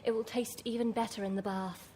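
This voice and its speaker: Woman as highish-voiced pleased young heroine. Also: fairly even intonation, brisk, dark tone, natural voice. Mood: delighted. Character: self-satisfied young woman luxuriating in success. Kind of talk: live horror radio play acting. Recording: live stage, faint noise.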